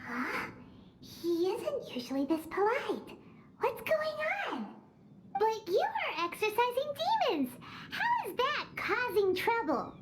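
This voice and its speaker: A woman voicing a tiny, excitable fairy girl companion in a video game, chatty and expressive with a childlike, squeaky voice. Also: takes it slowly, slight background noise, full character voice. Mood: puzzled. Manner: chatty, expressive, refers to self in third person.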